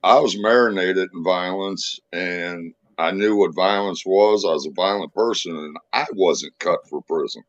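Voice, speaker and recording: Middle-aged man, deep voice, earnest storyteller; dry, muffled call audio. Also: no room sound. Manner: earnest, storytelling, halting restarts. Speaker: guest giving testimony. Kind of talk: casual chat on a live stream. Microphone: video call. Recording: dry, dull lo-fi stream audio.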